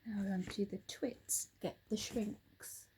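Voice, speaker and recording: A woman storyteller reading a children's book aloud with expressive narration. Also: mid-range voice, takes it slowly, slight background noise.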